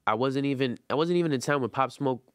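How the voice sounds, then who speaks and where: A man's voice: mid-range voice, fast, no room sound, clean studio sound. A man, a rapper talking as a guest in a casual interview, rambling loosely with lots of slang and "you know what I'm saying.". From Brooklyn, New York. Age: 20s.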